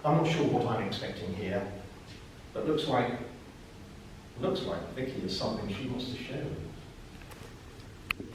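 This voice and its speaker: A man giving a dry, deadpan introduction as part of a live poetry performance. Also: medium pitch, normal speed, long pauses, background noise. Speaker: performance poet. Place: live arts venue, indoors. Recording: echoey room from far off.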